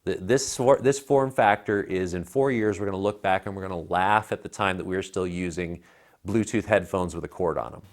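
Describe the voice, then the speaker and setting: A man's voice: sits low, brisk. A man in his thirties giving a casual, emphatic and opinionated product review as a co-host. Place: living room by a fireplace, indoors. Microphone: lapel mic.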